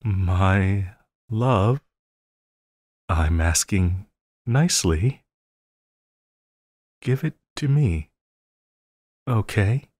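A man playing a possessive supervillain lover in an intimate audio roleplay, coaxing and pleading with a halting delivery and quiet menace. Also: deep voice, expressive, dry recording, clean studio sound, exaggerated voice. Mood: coldly sweet.

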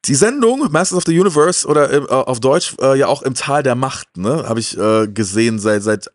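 A man's voice: medium pitch, animated, a little fast, dry recording. A man in his thirties hosting a show, chatting casually into a handheld microphone. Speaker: host of a retro TV show. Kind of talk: casual chat to viewers and guest. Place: indoors, small room full of media shelves.

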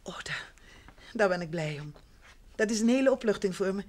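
A woman's voice: medium pitch, lively intonation, moderate pace, darkish tone, lightly acted. Radio drama role female actor: refined, evasive woman, courteous yet emphatic, faltering when flustered, medium register. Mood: apologetic, hesitant. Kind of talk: Dutch radio drama acting.

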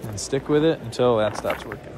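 A man casually vlogging while fishing outdoors on a rocky riverbank, upbeat and excitable, recorded on a body-worn camera. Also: medium pitch, brisk. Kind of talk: casual outdoor vlog narration. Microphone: body-worn action camera. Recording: noisy outdoor camera audio. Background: passing train, wind and outdoor noise.

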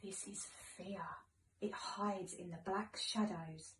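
A British woman in her thirties, a teacher reading a picture book aloud to young children in an expressive, gentle way. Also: medium pitch, slow, slight background noise. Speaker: primary school teacher. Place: living room, indoors. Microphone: phone camera a few metres away. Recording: echoey room, far from mic.

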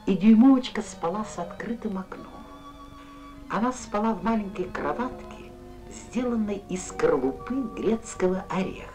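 Lady narrating a kids fairy tale, gentle, really swoopy intonation, faint music. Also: fairly low pitch, poor audio. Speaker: storyteller.

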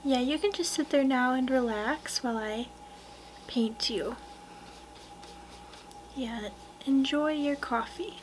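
A young woman doing a soft-spoken ASMR roleplay as a portrait painter, hushed and gentle, at times slipping into a whisper. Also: sits high, slow pace, pauses often, a little hiss or hum. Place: small wood-panelled room indoors.

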